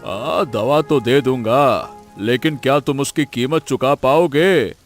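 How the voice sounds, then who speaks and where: A man's voice: mid-range voice, slow pace, faint music behind. A man voicing a callous, greedy village healer in a Hindi cartoon story, drawling and hemming with a dismissive sneer. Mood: calculating.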